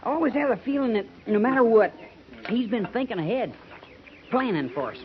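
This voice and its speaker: High-voiced, lively young American cavalry trooper. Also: male voice, fairly quick. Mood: admiring. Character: earnest young cavalry trooper, trusting and loyal. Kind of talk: 1950s American radio western acting. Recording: dull, noisy 1950s radio transcription.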